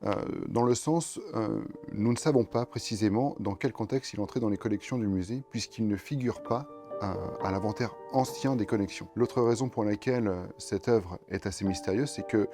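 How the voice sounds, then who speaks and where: A man representing a museum speaks hesitantly in a documentary interview, pausing often as he explains. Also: fairly low pitch. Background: music.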